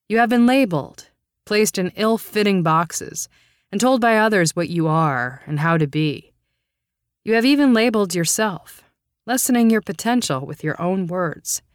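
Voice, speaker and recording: A woman audiobook narrator reading a first-person memoir in a measured, deliberate way, with a clear, smooth voice. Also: mid-range voice, no room sound, clean studio sound.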